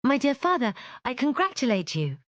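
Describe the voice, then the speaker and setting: A woman voicing a gentle, hopeful young woman who speaks softly and earnestly. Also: high-pitched, sing-song, normal speed, no echo, muffled recording, pitched above the performer's own voice. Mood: eager.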